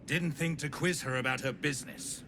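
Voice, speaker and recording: An actor playing a gruff, wry game trader who tells grim tales in a blunt, folksy way. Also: male voice, fairly high pitch, fairly even intonation, brisk, natural voice. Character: gruff unhurried male trader, sardonic messenger telling grim soul stories. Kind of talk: video game character dialogue. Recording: constant noisy ambience behind voice.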